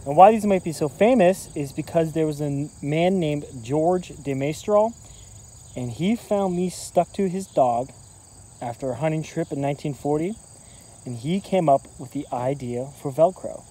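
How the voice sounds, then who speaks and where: A man's voice: fairly high pitch, normal speed, mellow. A man in his thirties, an environmental educator, giving a casual, easygoing lesson on a walk through woods and meadow, filmed on a phone held at arm's length. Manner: easygoing, explanatory, loose filler words. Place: outdoors in woods and trail meadow. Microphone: phone camera at arm's length. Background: faint crickets and insects.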